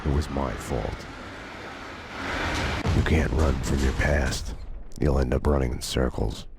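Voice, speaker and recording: An actor playing a world-weary, guilt-ridden homicide detective, narrating a video game in a slow, grim, deadpan way. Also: male voice, very deep voice, creaky, heavy background noise, full character voice. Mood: brooding, fatalistic. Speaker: narrator and protagonist. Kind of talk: noir scripted narration. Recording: loud music behind.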